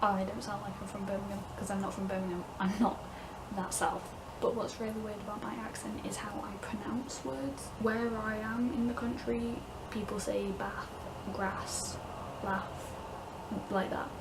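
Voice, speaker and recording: A woman's voice: medium pitch, flat delivery, normal speed, noisy background. Young English woman with a Midlands-northern accent, casual vlogger rambling and laughing, recorded a bit far off with audible ambience. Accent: English Midlands-northern with southern vowels. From England, north West Midlands. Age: young adult. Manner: chatty, laughs often, frequent "like". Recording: distant mic, clear room ambience.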